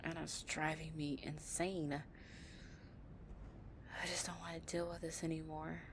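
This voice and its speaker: Woman acting a low-voiced, anxious delinquent girlfriend. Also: little pitch movement, unhurried, a little hiss or hum. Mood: worried, exasperated. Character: possessive delinquent girlfriend, anxious, tender, tough. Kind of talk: intimate roleplay audio acting.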